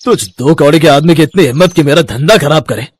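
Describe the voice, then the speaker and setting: A man's voice: on the high side, fairly quick, husky. A man playing an arrogant, greedy village landlord in a moral story, haughty and contemptuous. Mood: scornful, angry. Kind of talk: Hindi moral story voice acting.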